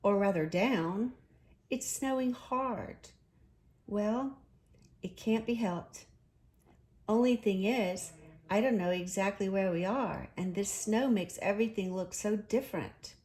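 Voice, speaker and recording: A woman voicing a practical, kindly male water rat in a children's story, brisk and reassuring. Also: mid-range voice, darkish tone, lightly acted. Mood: soothing, practical.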